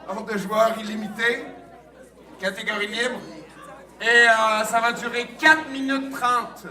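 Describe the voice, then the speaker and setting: Distant Québécois referee announcing rules. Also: male voice, very high voice, steady tone, takes it slowly, sharp tone. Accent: Quebec French. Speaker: improv match referee. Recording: distant echoey hall with crowd.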